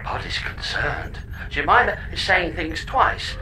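Male pod computer, audio comedy, actor performing: petulant, pedantic, evasive, lively pitch swings, faint noise behind. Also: a little slow. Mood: matter-of-fact. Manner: petulant, literal-minded, evasive. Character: glitchy male spaceship computer, pedantic, childish, defensive. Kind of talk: audio comedy drama acting.

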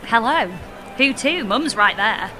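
An actress playing a sarcastic, exasperated young heroine in a sci-fi audio comedy, blunt and incredulous. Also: female voice, a little high, expressive, a little slow, rough recording. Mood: bewildered. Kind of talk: audio comedy drama acting. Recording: music under the voice.

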